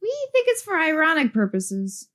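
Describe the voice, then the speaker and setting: A woman's voice: high-pitched, huge pitch swings, normal speed, fairly bright tone, heavily acted. A woman voicing an awkward, bashful teenage girl in an amateur webcomic dub, sounding self-conscious. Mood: concerned. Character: awkward, bashful teenage girl artist, DeviantArt website personified.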